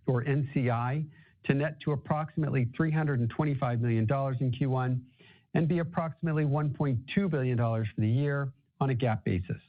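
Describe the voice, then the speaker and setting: A male finance executive presents on a corporate earnings call in a businesslike register. His voice sits at a medium pitch and comes through a narrow, phone-like line. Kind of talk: scripted corporate earnings presentation, then answering questions. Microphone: conference call line.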